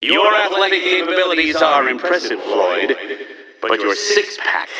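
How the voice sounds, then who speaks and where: Game villain: egomaniac male riddle-master, highish and swooping, pompous and mocking, roomy echo. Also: big pitch swings, moderate pace, pushed and bright, distant mic, exaggerated voice. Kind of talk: video game villain voice acting.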